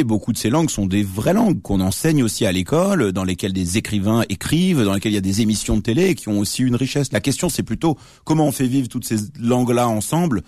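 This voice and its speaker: A man's voice: medium pitch, a little mellow, no room sound, clean studio sound. A man in his late thirties, a journalist and author being interviewed on radio, explaining things thoughtfully with many fillers and restarts. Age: late 30s.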